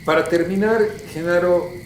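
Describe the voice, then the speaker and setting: A man with a Mexican accent speaking as a formal, deliberate radio drama announcer, reading from a script. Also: high-pitched, a little hiss or hum. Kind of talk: scripted credits and interview questions. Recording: roomy, echoing sound.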